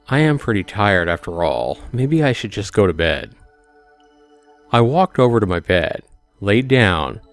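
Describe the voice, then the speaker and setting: A man hosting a let's play, reading a visual novel aloud expressively with casual commentary. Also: fairly low pitch.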